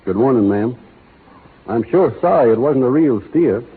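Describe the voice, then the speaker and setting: An actor playing an easygoing, teasing young cowboy hero with a folksy American Western drawl, in 1930s radio drama style. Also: male voice, mid-range voice, animated, normal speed, a little hiss or hum, lightly acted. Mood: gallant, sheepish. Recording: tinny, band-limited old radio broadcast.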